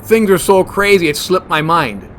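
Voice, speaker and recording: A man plays a fussy, germ-phobic monster hunter in a comedy horror audio drama. His voice sits fairly high. He argues earnestly and stubbornly, over faint background noise. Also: expressive, normal speed, a bit bright. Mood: flustered.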